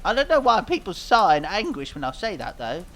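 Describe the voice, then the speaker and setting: A man's voice: sits high, sing-song, fairly quick, fairly bright tone, slight background noise, heavily acted. A man voicing a dim, earnest cartoon pop singer, rambling vaguely through a mock interview. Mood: puzzled, rambling. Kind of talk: mock interview fan dub.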